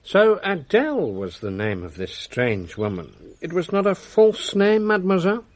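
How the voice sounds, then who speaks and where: A man playing a gentle, inquisitive investigator in a radio drama, musing over the evidence. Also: sits high, huge pitch swings, normal speed, no echo.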